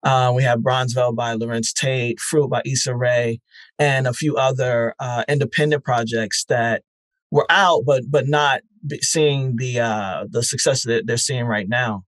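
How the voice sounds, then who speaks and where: A man answers interview questions in a measured, thoughtful way, pausing often on "uh" and "um". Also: medium pitch, steady tone, a bit bright, dry recording. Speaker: guest, network founder. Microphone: remote call.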